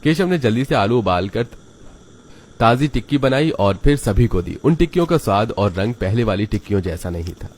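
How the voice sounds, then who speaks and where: A man's voice: on the low side, animated, fairly quick, a little mellow, slight background noise. A man narrating a children's cartoon story from a script.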